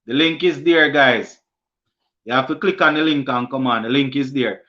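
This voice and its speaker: A man's voice: mid-range voice, sharp tone, highs cut off. Jamaican man chatting on a live stream. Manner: halting, many restarts and fillers. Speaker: host of a cricket live stream. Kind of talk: casual live-stream chat reading comments.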